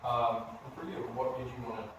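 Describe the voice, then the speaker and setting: A man's voice: medium pitch, little pitch movement, a little fast, lo-fi sound. An eighteen-year-old man, a student interviewer, speaking earnestly and articulately in a formal interview. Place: echoey indoor room at a history center. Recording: very echoey room, distant mic, noisy.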